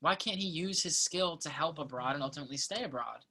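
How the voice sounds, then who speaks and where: A woman plays an earnest college student in a naturalistic play reading. The voice is low for a woman and clearly bright. The delivery is thoughtful and measured, with small hesitations as the student reasons aloud. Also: slight background noise. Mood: earnest, persuasive.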